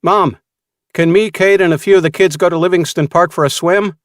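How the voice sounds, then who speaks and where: A man voicing an energetic, keen seventeen-year-old boy in an audiobook, polite and eager. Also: sits high, brisk, no room sound, clean studio sound, pitched above the performer's own voice, lightly acted.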